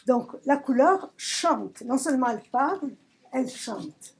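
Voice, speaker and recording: A woman from southern Italy, a poet giving a reflective talk about her work, searching for words with emphatic pauses. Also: high voice, lively intonation, husky. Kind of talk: reflective talk at a poetry recital. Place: public library event.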